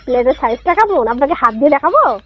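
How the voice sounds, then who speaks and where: High-pitched bantering woman on phone. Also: sing-song, quick pace. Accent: West Bengali. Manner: plain-spoken, bantering, retorting with questions. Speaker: street food vendor. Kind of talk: casual phone conversation. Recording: phone call with faint noise.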